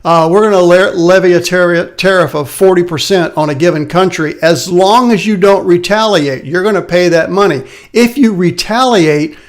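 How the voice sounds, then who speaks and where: Tired, slightly raspy man. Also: high-pitched, normal speed, few pauses. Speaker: financial advisor hosting a live market update. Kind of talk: casual live market commentary. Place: his office.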